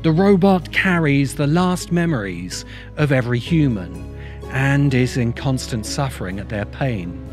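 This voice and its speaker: A middle-aged man narrating a scripted essay as host, in an emphatic, deliberate way with dramatic pauses, close to the microphone. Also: mid-range voice, animated. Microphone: close desk microphone. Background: music.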